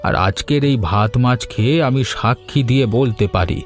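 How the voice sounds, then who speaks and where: পুরুষ কণ্ঠে ভোজনরসিক, দিলখোলা পাড়ার কাকা. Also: male voice, medium pitch, normal speed, natural voice. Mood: appreciative. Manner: hearty, wordy, philosophising. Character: genial family uncle, food-loving, flattering talker. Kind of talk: animated moral story dialogue. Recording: music behind the voice.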